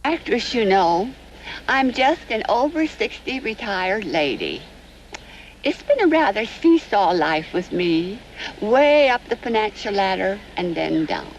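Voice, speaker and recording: A woman's voice: mid-range voice, big pitch swings, measured pace. A woman in her sixties playing a rueful, plain-spoken retired lady in a testimonial TV commercial. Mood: rueful, advising. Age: 60s. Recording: noisy 1970s off-air TV tape.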